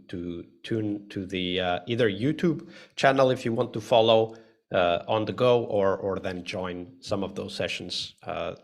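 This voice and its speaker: Man, session host, low gravelly voice, relaxed, many fillers, video call mic. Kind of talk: live online session hosting.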